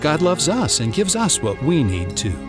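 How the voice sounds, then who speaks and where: A man narrating a scripted children's Bible story in an expressive way, drawing out words for emphasis. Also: fairly high pitch, moderate pace, loud music behind the voice.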